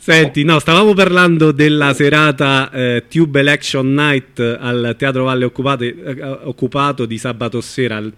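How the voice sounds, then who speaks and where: A man with a bright, forceful voice of average pitch hosts a live radio interview. He speaks casually. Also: fairly even intonation, moderate pace, few pauses, clear, resonant tone. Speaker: radio host interviewing a caller.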